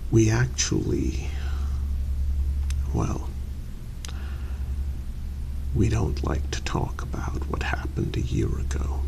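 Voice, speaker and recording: Man playing a gravelly, whispering post-apocalyptic camp official. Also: on the low side, exaggerated voice, rough recording. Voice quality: breathy whispery murmur with gravelly creak. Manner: measured, pausing, polite and formal. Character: courteous male post-apocalyptic camp official vetting newcomers. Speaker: ASMR role-play performer. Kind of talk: soft-spoken ASMR role-play.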